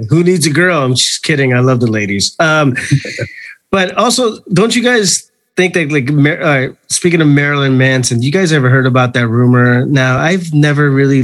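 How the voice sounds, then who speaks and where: A man's voice: medium pitch, fairly bright tone. A man from New Jersey hosting a podcast interview in a casual, informal way, joking and swearing freely. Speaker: podcast host interviewing a band. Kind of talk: casual chat.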